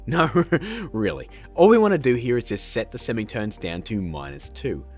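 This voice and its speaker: Male tutorial host, upbeat, playful, joking asides, very animated pitch, faint music underneath. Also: normal speed. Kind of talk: casual software tutorial.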